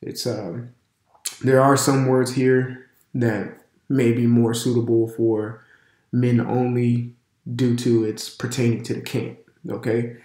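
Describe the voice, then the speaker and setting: Man, stuffy nose, casual teacher, rambling and loose, middle-pitched, echoey room. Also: little pitch movement, slow, dark tone. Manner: loose and conversational, many fillers and restarts. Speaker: religious language teacher. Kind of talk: casual video lesson.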